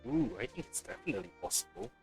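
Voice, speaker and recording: A man's voice: medium pitch, very animated, fast talker, darkish tone, faint music behind, natural voice. A man voicing a friendly, easygoing young traveler in a video game. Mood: interested.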